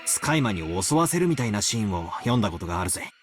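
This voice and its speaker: A man voicing a brash, wry, cocky teenage boy in a visual novel, with rough colloquial speech and teasing bravado. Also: fairly low pitch, very animated, rapid-fire. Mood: casual. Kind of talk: Japanese visual novel voice acting. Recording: prominent music behind the voice.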